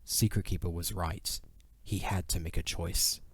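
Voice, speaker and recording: A man's voice: low voice, steady tone, measured pace, husky. A man narrating a dramatic fantasy audio drama in a solemn storyteller's delivery.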